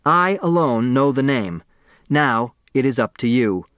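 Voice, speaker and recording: A man's voice: mid-range voice, animated, unhurried, a bit bright, clear, resonant tone, dry recording, clean studio sound. A man voicing a commanding, theatrical patriarch in a solemn, oratorical audiobook reading. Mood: grandiose, exhortatory. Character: dead millionaire's will, commanding, grandiose, theatrical old patriarch.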